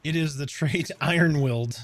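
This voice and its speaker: A man with a calm, soothing voice chatting casually on a game stream, explaining things step by step in a relaxed, rambling way. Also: a little high, normal speed, dry recording. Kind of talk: casual live-stream chat.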